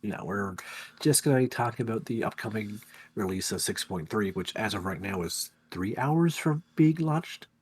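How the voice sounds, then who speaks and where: A man's voice: on the low side, expressive, normal speed, slightly rough. A man co-hosting a casual game chat, with a nasal voice as he recovers from losing it, chatty and teasing. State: recovering from lost voice. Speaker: podcast co-host.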